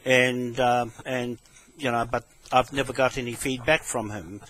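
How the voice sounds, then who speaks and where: A man with a New Zealand accent talking on the telephone in a halting, repetitive, rambling way. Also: medium pitch, fairly even intonation, a bit bright. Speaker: caller with a complaint. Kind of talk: phone conversation.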